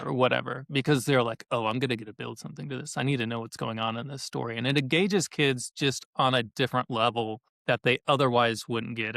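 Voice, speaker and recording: A man's voice: mid-range voice, creaky, a bit bright, dry recording. A man answering as a podcast guest in a conversational way, often acting out little quotes as he explains. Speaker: podcast guest, educational activity creator.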